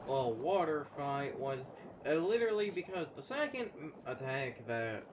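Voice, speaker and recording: A man chatting casually as a gaming commentator, halting and repeating words as he explains things. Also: on the high side, big pitch swings, noisy background. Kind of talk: casual unscripted gaming chat.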